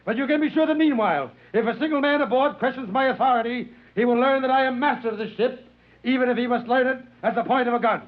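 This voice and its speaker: A domineering spaceship captain, shouting threats in formal vintage radio style. His voice is extremely high and races along. The old recording sounds dull. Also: quick pace. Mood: furious, threatening. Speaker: ship's captain. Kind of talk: old-time radio drama acting.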